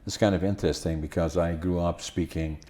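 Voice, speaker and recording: Older Dutch-accented man, deep and mellow. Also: steady tone. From Netherlands. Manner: halting, many restarts and fillers. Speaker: podcast host. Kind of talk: casual conversation.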